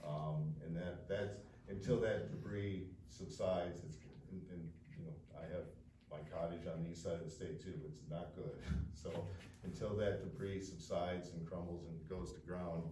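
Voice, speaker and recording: A man's voice: deep voice, fairly even intonation, normal speed, mellow. A man presenting a development plan at a public meeting, talking in a rambling way with many fillers and restarts. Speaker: developer's representative. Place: township meeting room. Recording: echoey room, distant mic, faint hiss.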